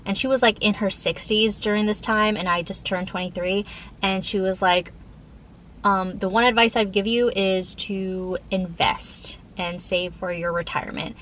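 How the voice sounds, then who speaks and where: Female vlogger, 30, informal rambling chat, mid-pitched with a slight lift, some background noise. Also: steady tone, moderate pace, a bit bright. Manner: informal, rambling, frequent filler "like". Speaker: lifestyle vlogger. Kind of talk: casual chat to camera.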